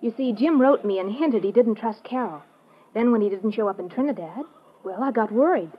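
A woman's voice: fairly high pitch, lively intonation, a little fast, husky. A woman playing an earnest, worried but plucky fiancée in an old-time radio drama. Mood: earnest, confiding. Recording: muffled old radio recording.

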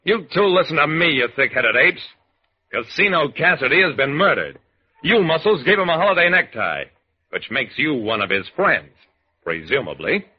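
An actor playing a wry, commanding hard-boiled detective, narrating deadpan in a 1940s-style radio drama. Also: male voice, fairly high pitch, normal speed, slightly rough. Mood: firm. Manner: deadpan, wisecracking. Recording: dull old radio recording.

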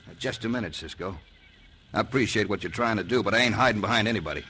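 An actor playing a proud, plain-spoken, stubborn cowboy rancher in an old radio western, with a Western drawl. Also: male voice, on the low side, fast talker, pushed and bright. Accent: American Western drawl. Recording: old radio recording, faint noise.

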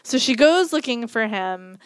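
A woman co-hosting a podcast, chatting casually about a book in a rambling way with lots of fillers, a little croaky from a cold. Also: fairly high pitch, expressive, a little slow, no room sound. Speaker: podcast co-host. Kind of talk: casual book-review chat.

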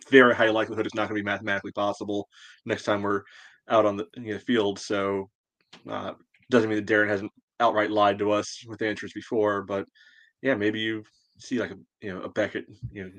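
A man with a fairly low voice co-hosts a fan sports podcast. His delivery is casual and informal, with a wry edge. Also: a bit bright. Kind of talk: casual soccer fan chat.

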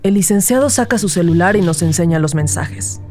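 A woman's voice: on the low side, animated, a little fast, darkish tone, soft background music, natural voice. A woman playing an observant, empathetic rookie detective who narrates an audio drama in the first person. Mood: somber, observant.

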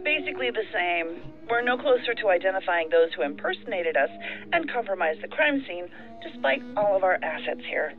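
An actress playing a frustrated, self-doubting federal agent, earnest and pointed, heard through a phone filter in an audio drama. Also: female voice, medium pitch, expressive, a little fast. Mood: uneasy. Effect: telephone filter. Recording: tinny phone line, faint music behind.

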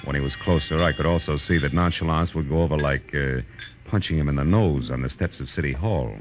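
Fast, deep, hard-boiled private eye. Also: male voice, music in the background. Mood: tense, wry. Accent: American. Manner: clipped, wry, hard-boiled delivery. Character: hard-boiled male private detective, wry and urgent. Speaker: lead actor and first-person narrator. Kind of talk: 1940s radio detective drama acting. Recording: old radio broadcast recording.

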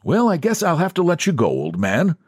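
A man voicing a smooth, condescending middle-aged bank president who speaks in an easy, patronizing way, for a storybook reading. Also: a little high, wildly swooping pitch, fairly quick, darkish tone, no room sound, clean studio sound, voice pushed up, heavily acted. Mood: falsely comforting.

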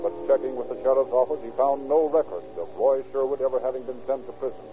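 A man's voice: medium pitch, lightly acted. Formal radio crime narrator, a man, over music. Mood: sober. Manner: formal, deliberate storytelling. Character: sober male narrator of a police case. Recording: narrow old radio recording, music behind.

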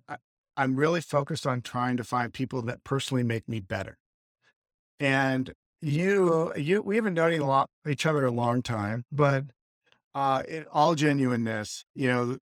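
A man hosts a podcast and introduces his guest at length, earnest and a little rambling, with many ums and restarts. His voice sits at a mid pitch for a man. The recording is close and dry, with no room sound. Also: no echo. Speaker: podcast host. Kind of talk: casual interview.

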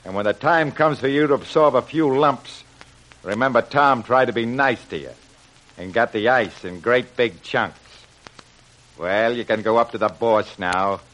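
An American actor playing a wisecracking, bossy gangster underboss in an old radio adventure serial. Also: male voice, mid-range voice, measured pace, fairly bright tone, lightly acted, rough recording. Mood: sardonic. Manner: sardonic, bossy.

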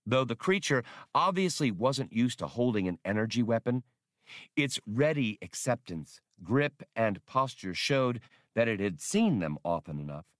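Low-voiced male audiobook narrator, very dry. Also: fairly bright tone, dry recording. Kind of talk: scripted narration of adventure fiction.

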